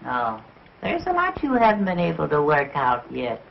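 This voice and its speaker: Brief: cheeky wisecracking boy dummy; sharp teasing comebacks, scratchy vintage film sound. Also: fairly high pitch, wildly swooping pitch, normal speed, vocal fry, heavily acted. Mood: sassy. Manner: sassy, teasing retorts. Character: cheeky young male ventriloquist dummy, wisecracking. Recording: dull, hissy old film soundtrack.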